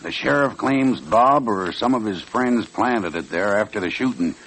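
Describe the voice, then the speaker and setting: A hard, domineering villain of the Old West in a vintage radio drama. His voice is gravelly and tough with folksy grammar, over a faint layer of broadcast noise. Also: on the low side, expressive, normal speed, a bit bright, low-quality recording. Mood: coldly domineering. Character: cold, bullying western saloon villain. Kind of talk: old-time radio western acting.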